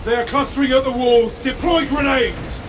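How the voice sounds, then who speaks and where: A man's voice: extremely high pitch, steady tone, normal speed, noisy background. An actor playing a disciplined male warrior-monk squad leader who speaks formally and rallies his brothers. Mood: resolute. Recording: game audio over loud music.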